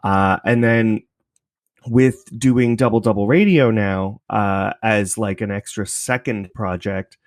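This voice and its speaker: Male podcast guest, somewhat low voice, casual explainer, recorded dry and close. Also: slow pace, dry recording. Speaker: podcast creator, interview guest. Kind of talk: casual explanatory interview talk.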